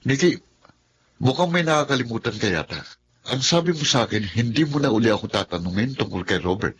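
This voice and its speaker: A man's voice: medium pitch, expressive, fairly quick, a little hiss or hum, lightly acted. A man acting a proud young man in a radio drama, blunt, cutting and dismissive.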